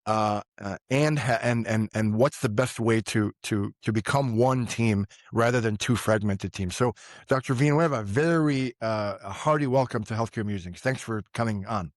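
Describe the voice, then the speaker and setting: Halting man hosting a medical podcast. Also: fairly low pitch, no echo, studio quality. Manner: halting, many fillers and restarts, warm praise. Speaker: podcast host, physician. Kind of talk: interview conversation.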